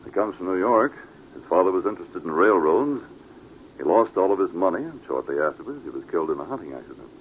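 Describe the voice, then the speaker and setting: An actor playing a reserved, upright police sergeant in a measured, formal manner for a vintage radio drama. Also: male voice, low-pitched, animated. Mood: probing. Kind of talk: 1940s radio drama acting. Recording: muffled, noisy vintage radio recording.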